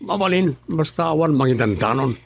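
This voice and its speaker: Radio drama: male actor as a clipped Ilocano man, husky and dry. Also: a little high, animated, fairly quick, dry recording, exaggerated voice. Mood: defensive.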